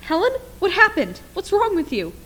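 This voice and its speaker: A woman's voice: very high voice, huge pitch swings, moderate pace, full character voice. A woman playing a concerned, questioning friend in a radio play. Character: concerned, caring female friend. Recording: very noisy recording with clicks.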